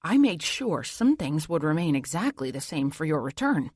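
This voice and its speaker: A woman's voice: low-pitched, big pitch swings, normal speed, darkish tone, breathy edge, no room sound, clean studio sound, heavily acted. A woman voicing a creepy, eager, doting man who holds someone captive, in audiobook dialogue. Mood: proud, doting. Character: creepy, eager, doting adult male captor.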